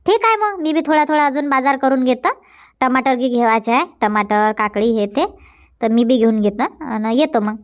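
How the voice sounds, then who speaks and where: A woman plays a good-natured village housewife from a Marathi comedy cartoon. Her voice is high, and she talks in a colloquial rural Vidarbha accent. She banters and nags, repeating her phrases as she goes. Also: animated, normal speed, highs cut off. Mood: chatty. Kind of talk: dubbed comedy cartoon dialogue.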